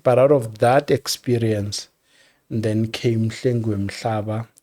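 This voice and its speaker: An older man with a South African accent, a music executive telling stories in a relaxed interview, measured and repeating words as he thinks. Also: on the low side.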